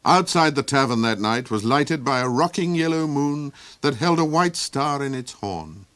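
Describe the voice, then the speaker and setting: A man narrates a fantasy tale in an expressive, theatrical manner. His voice sits at an ordinary middle pitch. The sound is dry and close. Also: moderate pace, no echo. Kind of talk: audiobook storytelling.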